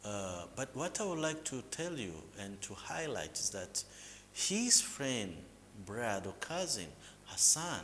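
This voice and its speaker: A man from Mozambique, explaining local history as an interview guest in a measured, lecturing way, with hesitant fillers and restarts. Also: mid-range voice, fairly bright tone. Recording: reverberant room with faint background noise.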